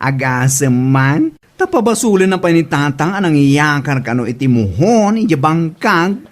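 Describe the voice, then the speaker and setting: A woman's voice: deep voice, very animated, measured pace. A woman playing a cheeky, bold village gossip in a comedy drama, with a teasing, exclamatory manner. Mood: gossipy. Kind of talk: Ilocano comedy drama acting.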